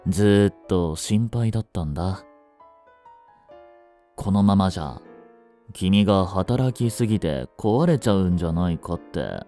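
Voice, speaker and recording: A young man acting a jealous, possessive yandere boyfriend in a romantic voice drama, with a soft, coaxing, controlling manner that is sweetly menacing. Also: sits low, animated, unhurried, pauses often, faint music behind. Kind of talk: Japanese romantic situation voice drama.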